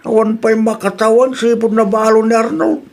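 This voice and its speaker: A man playing a gruff scolding father. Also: high voice, steady tone, moderate pace. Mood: grumbling. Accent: Ilocano. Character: grumpy, skeptical father.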